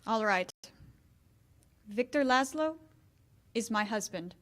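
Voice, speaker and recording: A woman's voice: a little high, expressive, slow pace, a bit bright. An actress playing a proud, wounded married woman, restrained and earnest, in 1940s-style radio theater. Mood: guarded, weary.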